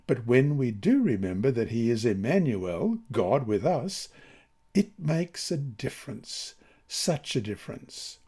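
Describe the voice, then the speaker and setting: A man with a soft, mellow voice reads devotional narration and scripture. His pitch moves in a lively, expressive way, and his phrasing is measured and emphatic. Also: breathy edge. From Australia. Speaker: lesson reader.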